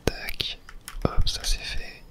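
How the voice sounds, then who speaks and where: Casting: ASMR gaming host, breathy close-up whisper throughout, casual unhurried running commentary. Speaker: host playing a video game. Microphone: close microphone.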